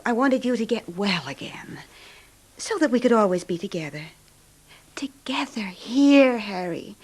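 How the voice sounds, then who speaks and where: Possessive, very expressive wife. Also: female voice, on the high side. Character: controlling, possessive wife with soft, soothing surface. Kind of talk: 1970s British radio drama acting.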